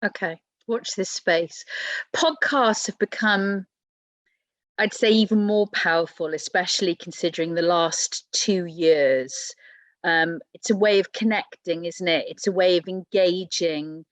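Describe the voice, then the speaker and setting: Woman interviewer, lowish voice, friendly flowing questions, dry close sound. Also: a little slow, dry recording. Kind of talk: conversational interview.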